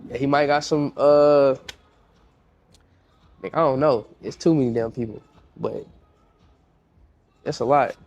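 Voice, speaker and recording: A man's voice: mid-range voice, steady tone, unhurried, gravelly, long pauses, a little mellow, highs cut off. A young man with a congested voice, a music producer talking casually in a podcast interview, slangy and repeating himself as he tells stories.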